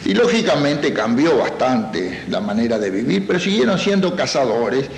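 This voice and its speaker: A man's voice: medium pitch, very animated, normal speed, gravelly. Male scholar explaining in an echoey room. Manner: didactic, poses rhetorical questions. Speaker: expert interviewee. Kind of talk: explanatory academic interview talk. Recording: echoey room, faint background noise.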